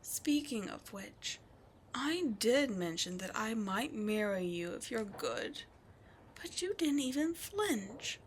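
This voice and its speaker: A woman playing a slow, taunting demon queen. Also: a little high, sing-song, slight background noise. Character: seductive scheming female demon queen. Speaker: voice actor in audio drama. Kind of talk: audio roleplay drama.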